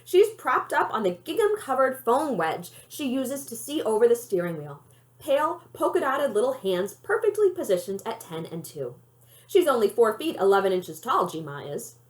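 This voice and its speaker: A woman reading a children's novel aloud in an expressive storytelling style. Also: on the high side, moderate pace. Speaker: library read-aloud reader.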